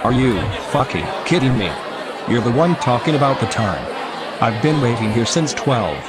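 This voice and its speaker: A man's voice: medium pitch, sing-song, moderate pace, natural voice. A man playing a fed-up, confrontational protester who heckles angrily. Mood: indignant. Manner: profane, confrontational. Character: angry, fed-up male protester in a crowd. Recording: loud crowd noise all around.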